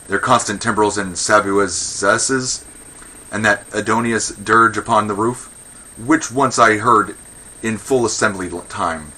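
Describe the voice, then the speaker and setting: A man's voice: lowish voice, measured pace, bright, forceful tone, poor audio. A man playing a pompous, indignant old Athenian magistrate in a verse comedy, declaiming with blustering contempt for women. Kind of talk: verse comedy read aloud.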